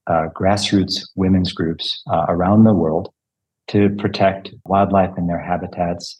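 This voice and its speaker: A man hosting a podcast, introducing his guests and asking questions in a relaxed way with fillers. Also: low voice, highs cut off. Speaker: podcast host. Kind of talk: interview questions.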